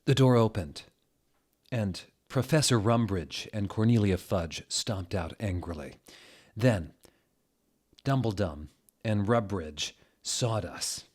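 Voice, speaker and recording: A man giving a comic dramatic reading of a story, theatrical and deliberate, with incredulous asides. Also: on the low side, darkish tone, husky. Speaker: dramatic reader of bad fan fiction.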